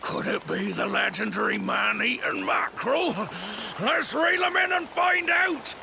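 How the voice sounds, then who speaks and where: A man playing a hearty, kindly old fisherman in a video game cutscene, with gravelly, folksy seafarer talk. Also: high-pitched, unhurried, background noise, full character voice. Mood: excited. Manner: folksy seafarer talk, refers to himself by name. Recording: game music under the voice.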